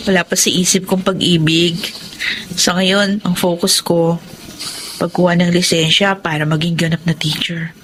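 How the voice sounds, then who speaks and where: A woman's voice: on the low side, flat delivery, normal speed, a bit bright, slight background noise, close to an everyday voice, rough recording. A woman playing a kind, dutiful young student in a Filipino radio drama. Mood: friendly, modest. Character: Cindy, kind, dutiful young aspiring teacher.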